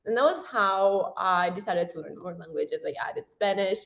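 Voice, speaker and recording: A woman's voice: mid-range voice, gravelly, fairly bright tone, muffled recording. A young woman whose first language is Persian, a university student talking frankly as a guest in a casual interview. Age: young adult. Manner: chatty and frank, with frequent "like" and quick self-corrections.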